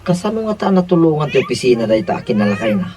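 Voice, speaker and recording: A man's voice: mid-range voice, sing-song, quick pace, warm tone, lightly acted. A Filipino man with an Ilocano accent playing a chummy office buddy in a radio comedy drama. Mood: chatty.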